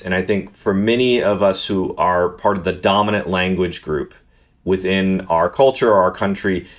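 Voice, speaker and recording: A man talking through a book review in an earnest, thoughtful way, often restarting his words. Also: low-pitched. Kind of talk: unscripted book review monologue.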